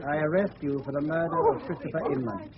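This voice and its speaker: A man as a stiff, high-voiced English inspector. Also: quick pace, a crowd in the background, close to an everyday voice. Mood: stern, formal. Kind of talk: radio drama acting. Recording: muffled radio recording under loud background sounds.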